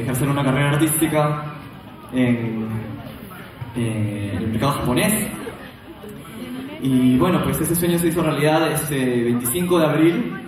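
A young man with a Peruvian Spanish accent, a singer introducing his act from an indoor concert stage with a handheld mic, upbeat and cheering the crowd. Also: mid-range voice. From Peru. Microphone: handheld mic on stage, filmed from the audience. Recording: echoey hall from far off with crowd.

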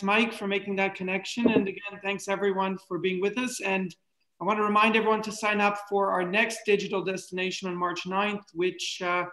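A man's voice: sits high, bright, forceful tone, roomy sound, rough recording. A man hosting an online event on a video call, formally thanking people and making announcements. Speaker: event host. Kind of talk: closing announcements.

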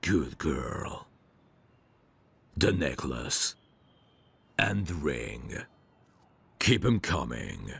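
A very slow, menacing mugger from an ASMR roleplay , acted out by a man. He taunts and threatens in a rock-bottom, gravelly male voice, leering as he goes. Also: rumbling low, big pitch swings, a bit bright, full character voice. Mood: leering, taunting. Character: menacing male street mugger, lecherous thug.

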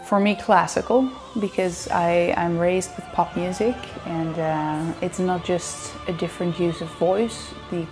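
Playful Dutch woman, fairly low voice. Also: fairly even intonation, a little slow, few pauses, over music. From Netherlands. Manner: chatty, playful, laughs often. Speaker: singer answering fan questions. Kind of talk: casual chat to camera. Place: indoor living room, seated on a sofa.

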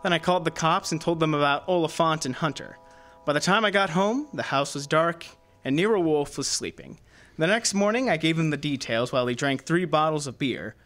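Detective's wry right-hand man and narrator, male actor, radio play, snappy delivery, a touch high in pitch. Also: a little fast, a bit bright, close to an everyday voice. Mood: matter-of-fact. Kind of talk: radio detective drama acting.

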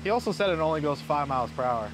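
Joking laid-back guy on a boat vlog, voice a bit high, noticeable hum behind him. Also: animated, very fast. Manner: joking, easygoing. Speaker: vlog companion and guide. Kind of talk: casual chat, pointing out sights. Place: outdoors on a boat on a lake. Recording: outdoor recording with motor hum.

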